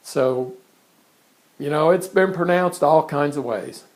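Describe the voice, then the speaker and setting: A man, introducing himself in a casual, halting monologue from his art studio. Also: a little high, rough recording. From born in England, raised in Kansas. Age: 60s. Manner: conversational, halting with frequent restarts and fillers. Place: his art studio in front of a blank canvas.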